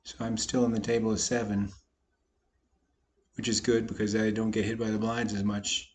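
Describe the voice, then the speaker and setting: A slightly hoarse man, a poker vlogger, casually talking through his online poker hands with a folksy, self-deprecating manner. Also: fairly low pitch, flat delivery, moderate pace. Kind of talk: casual commentary over online poker play.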